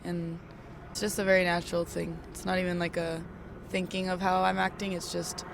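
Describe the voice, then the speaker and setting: A young woman from Okinawa, a singer-songwriter, answering interview questions in a relaxed, chatty way with lots of "like"s. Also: lowish voice, a little fast, creaky. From Okinawa, Japan. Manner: relaxed, chatty, laughs often, many "like"s. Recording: street noise behind.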